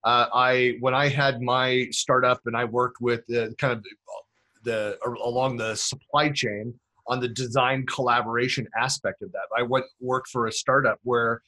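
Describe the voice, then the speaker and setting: Man, podcast host, chatty, rambling, fillers, mid-range pitch. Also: flat delivery, unhurried, bright, forceful tone, low-quality recording. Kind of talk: interview podcast.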